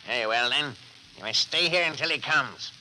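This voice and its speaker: An actor playing an eccentric, hostile old hermit uncle in a melodramatic 1940s radio drama. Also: male voice, mid-range voice, normal speed, sharp tone, a little hiss or hum, poor audio. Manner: intense, melodramatic, repeating phrases.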